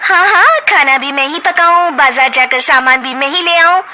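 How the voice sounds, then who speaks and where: A woman's voice: high-pitched, expressive, moderate pace, very noisy, voice pushed up. A woman voicing an outspoken young wife who talks back sharply and defiantly.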